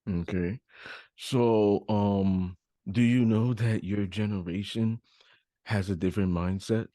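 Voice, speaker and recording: A man's voice: on the low side, steady tone, slow, warm tone, no room sound, studio quality. A man hosting a podcast, interviewing in an informal, conversational way. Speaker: podcast host.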